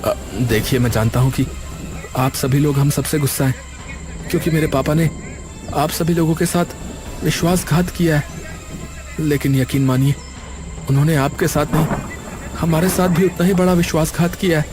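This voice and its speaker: Dutiful young village lad male actor, earnest, higher-than-average pitch, animated story dialogue over music. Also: normal speed, long pauses, natural voice. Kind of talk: animated story character acting.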